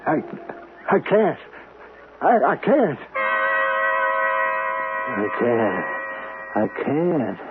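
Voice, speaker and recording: An actor playing a lonely, guilt-ridden killer in a radio drama, evasive and pleading in a halting, anguished stammer. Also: male voice, medium pitch, big pitch swings, loud music behind the voice. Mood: panicked, confused. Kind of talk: 1970s radio drama acting. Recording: noisy, tinny old radio broadcast.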